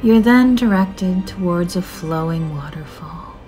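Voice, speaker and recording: Slow, low-pitched woman guiding meditation. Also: animated. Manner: soothing, measured, gentle with drawn-out phrases. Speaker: meditation guide. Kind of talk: scripted guided meditation narration. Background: soft music.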